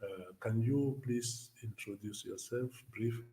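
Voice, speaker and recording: A man hosting a live interview, talking slowly with many fillers and pauses. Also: medium pitch, mellow. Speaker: host. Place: outdoors near a bay. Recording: echoey, from far off.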